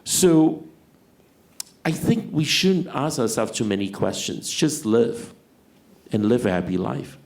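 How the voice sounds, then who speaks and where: Gravelly Singaporean man, reflective. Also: mid-range voice, sing-song, a little mellow. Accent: Singaporean English. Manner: reflective, hesitant, many "um" pauses. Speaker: fashion designer, panelist. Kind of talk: panel discussion.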